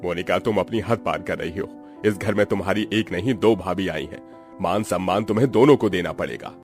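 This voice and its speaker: Low-voiced kindly, firm father-in-law played by a man. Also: fairly quick, darkish tone, soft background music. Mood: stern. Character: kindly but firm middle-aged father-in-law, head of household. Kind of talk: Hindi animated family drama dubbing.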